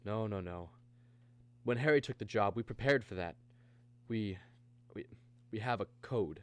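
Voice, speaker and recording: An actor playing an anxious, insistent man in a radio drama, both narrating and speaking halting, repetitive lines. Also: on the low side, dry recording, close to an everyday voice. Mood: agitated, insistent. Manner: halting, repeats words when agitated. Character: anxious, insistent man searching for his missing brother. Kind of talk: radio drama acting with first-person narration.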